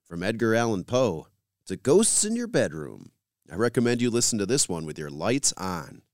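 A man's voice: medium pitch, huge pitch swings, moderate pace, vocal fry, dry recording, studio quality. A man hosting a radio program, reading scripted introductions and credits as a presenter.

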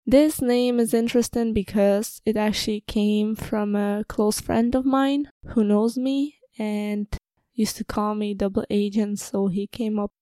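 A woman's voice: mid-range voice, little pitch movement, a little slow, dark tone, dry recording. A young woman from the Republic, hosting a casual personal podcast in a slightly nervous, hesitant, informal manner. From Czech Republic, moved to Ireland at twelve. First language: Czech. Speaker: podcast host.

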